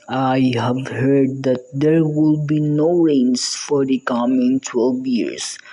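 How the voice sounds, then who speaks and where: Someone voicing a slow, solemn, scheming crane. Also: medium pitch, warm tone, rough recording. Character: sly old crane feigning guilt and sorrow, scheming killer. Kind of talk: puppet show storytelling dialogue.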